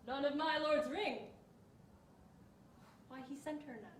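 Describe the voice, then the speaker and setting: A woman's voice: high voice, slow pace. A teenage girl playing a bewildered young woman disguised as a man, musing aloud in a puzzled, wry way, recorded from afar in an echoey hall. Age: teens. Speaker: student in a monologue contest. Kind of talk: stage monologue recitation. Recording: echoey room, distant mic, faint hiss.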